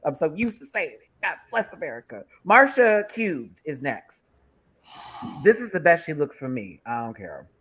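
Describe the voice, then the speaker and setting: A man in his thirties hosting a panel show, chatting in a campy way with quick asides about runway looks. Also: on the high side, big pitch swings, fairly bright tone, breathy edge. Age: 30s. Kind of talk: casual panel chat reviewing runway looks.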